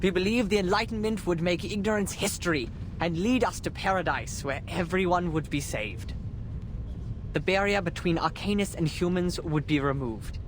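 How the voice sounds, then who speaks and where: A man's voice: sits high, normal speed, background noise, natural voice. An actor playing a solemn, idealistic man giving a theatrical memorial speech in a grandiloquent, oratorical manner in a video game. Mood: mournful, oratorical. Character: solemn eulogist, an idealistic man mourning his friend.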